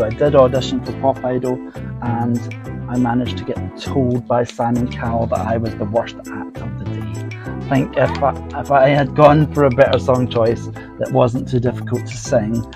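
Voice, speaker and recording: A man with a gravelly voice reads prepared tales in a measured storytelling tone. He slips into a loose, filler-heavy chat between stories, and his voice is turning husky. Faint music plays underneath. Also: medium pitch, low-quality recording. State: voice getting husky. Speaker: podcast host. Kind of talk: reading scripted stories aloud, then casual aside.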